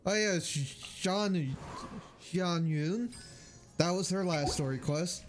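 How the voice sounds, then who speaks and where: A young man giving casual livestream game commentary in a halting, rambling way. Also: high voice. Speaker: game streamer. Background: game music.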